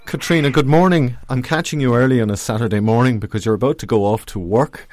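A man hosts an arts radio show in a mid-pitched voice. His phrasing is wordy and conversational, and the sound is close and dry. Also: fast, darkish tone, dry recording. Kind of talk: conversational interview and introduction.

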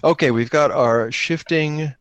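A man's voice: fairly high pitch, measured pace, clean tone, no room sound, muffled recording. A man narrates an improvised tabletop game in a casual, conversational way. He thinks aloud as he goes and jokes around. The sound is dry, with very little room in it. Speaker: co-player running a solo-engine tabletop game.

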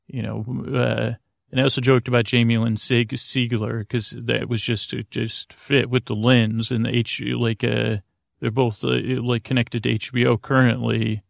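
A middle-aged man delivers a meandering bedtime monologue as a podcast host, halting often and looping back on himself. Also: medium pitch, level tone, vocal fry, dry recording, clean studio sound. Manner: hesitant, halting, trails off and loops back.

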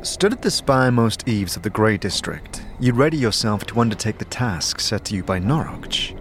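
A man narrating a scripted fantasy audio drama in a measured, dramatic storytelling manner. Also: lowish voice, big pitch swings, slight background noise.

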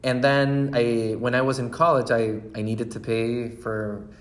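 A man's voice: medium pitch, little pitch movement, a little slow. A man, a brand founder telling his story in a casual interview, laughing often and restarting his sentences. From Chile.

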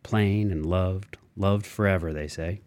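A man reads literary fiction in a low, relaxed voice. His delivery is unhurried and musing, moving through fragmented thoughts with expressive shifts. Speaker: audiobook reader. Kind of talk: literary audiobook narration of interior monologue.

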